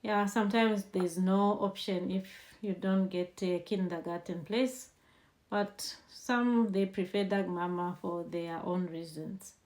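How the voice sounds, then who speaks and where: A woman talks straight to her viewers in a relaxed explainer lesson. Her pitch sits in a middle range, and she moves through points step by step in an unhurried, conversational way, adding friendly practical tips. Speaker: host and language teacher. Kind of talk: informal explainer lesson to camera.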